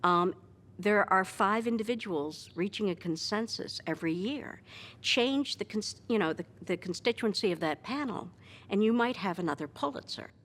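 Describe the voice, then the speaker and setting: A woman's voice: medium pitch, expressive, moderate pace, a bit bright. A woman speaking in a considered way in a documentary interview.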